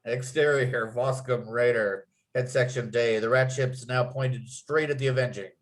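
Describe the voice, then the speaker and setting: A man's voice: medium pitch, fairly even intonation, moderate pace. A man reading out screenplay scene headings and action as the narrator of a script table read. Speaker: narrator and writer.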